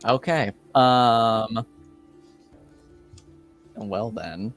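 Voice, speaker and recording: A man running a tabletop roleplaying game as game master, improvising casual narration and questions with thoughtful, halting phrasing. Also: medium pitch. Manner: halting, pauses to think, brief affirmations. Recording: music under voice. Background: background music.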